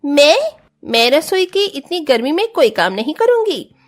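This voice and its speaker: A woman's voice: high voice, big pitch swings, a little slow. A woman voicing a spoiled, haughty young rich daughter-in-law in a cartoon family drama, whiny and defiant. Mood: indignant. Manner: whiny, complaining.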